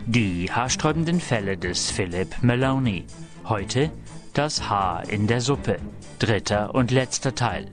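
A man's voice: lowish voice, lively intonation, measured pace. A man reading a scripted announcement and recap as the announcer of a radio serial. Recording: clear music under the voice.

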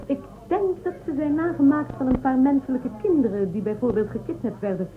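An actress playing a haughty, probing woman official of a space empire in a radio drama, condescending and measured. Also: high voice, narrow, tinny audio, a crowd in the background, close to an everyday voice. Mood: insistent. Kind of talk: Dutch radio drama acting. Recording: thin band-limited, noisy old radio recording.

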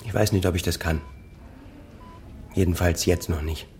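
An actor playing a bitter, wounded grown son who speaks in a reproachful, sardonic way in a radio drama. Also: male voice, low voice, steady tone, moderate pace, a little mellow, faint music behind. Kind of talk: German radio drama dialogue.

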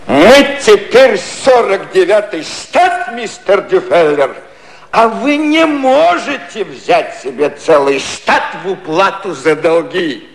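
An actor playing a wily elderly British prime minister in a 1950s satirical radio play, ironic, declamatory and coaxing. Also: male voice, high-pitched, very animated, takes it slowly, heavily acted, poor audio. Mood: triumphant, mocking.

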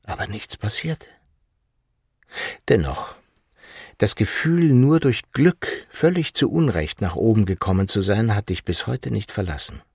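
Male audiobook reader, low and extremely raspy, for literary prose in a dry studio. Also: expressive, measured pace, darkish tone, no echo. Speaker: audiobook narrator. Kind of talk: literary second-person narration.

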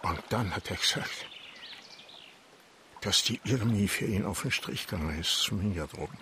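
An actor playing an old farmer in a radio drama, telling his story plainly and haltingly in a creaky voice with a Bavarian accent. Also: low voice, pushed and bright, slight background noise. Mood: weary, halting. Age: older.

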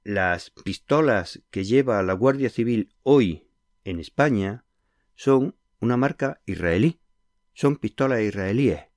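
A man's voice: on the low side, animated, slow pace, darkish tone, no echo, clean studio sound. A man hosting a radio interview, explaining things earnestly with concrete examples. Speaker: radio host.

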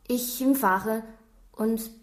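Chinese cleaning woman, radio drama. Chinese accent, slow terse broken answers, roomy sound. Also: fairly high pitch, steady tone. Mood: timid, terse. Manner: halting broken sentences, very few words. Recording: roomy echo.

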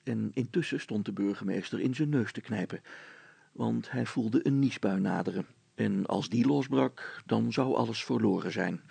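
A man narrating a scripted story as an expressive storyteller. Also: on the low side, gravelly, slightly rough, no room sound.

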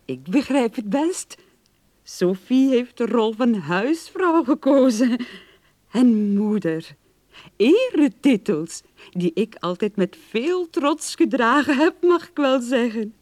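Conventional, proud mother and homemaker, middle-aged, polite, slow-spoken, dry close studio sound, radio play. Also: on the high side, big pitch swings, a little mellow, dry recording. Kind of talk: radio drama dialogue.

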